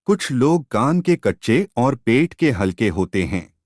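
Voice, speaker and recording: A man narrating a scripted folk tale as a storyteller, in a measured, expressive audiobook manner. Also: mid-range voice, no room sound, clean studio sound.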